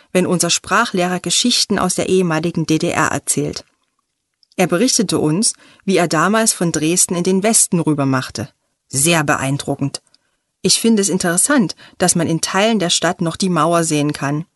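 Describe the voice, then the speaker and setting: A woman reading a short first-person text aloud for a language listening exercise, measured and deliberate. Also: fairly low pitch, dry recording.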